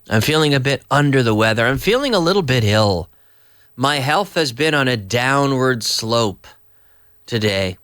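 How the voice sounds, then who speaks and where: A man with a cold and a hoarse voice, teaching English live to viewers in a chatty, slow, explaining way. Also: mid-range voice, fairly bright tone, dry recording, studio quality. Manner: chatty, reads viewer messages aloud and explains slowly. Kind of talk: live online English lesson.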